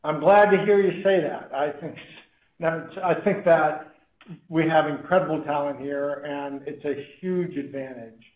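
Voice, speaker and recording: An American man from New England commenting off the cuff as an executive on an earnings call, halting and often restarting his sentences. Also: on the high side. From New England, United States. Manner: halting, self-correcting, with repeated restarts. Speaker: company chief executive. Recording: tinny phone line with room echo.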